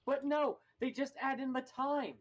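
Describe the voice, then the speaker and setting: A man's voice: very high-pitched, fairly even intonation, moderate pace, slight background noise. A young man chatting casually with friends on a group call.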